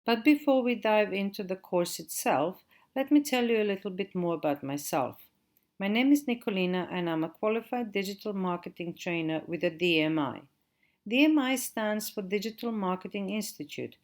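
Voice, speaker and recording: A middle-aged woman from Croatia with a Croatian accent, giving a measured recorded lecture as a digital marketing trainer. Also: medium pitch, animated. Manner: measured, spelling out web addresses. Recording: audio-only recording.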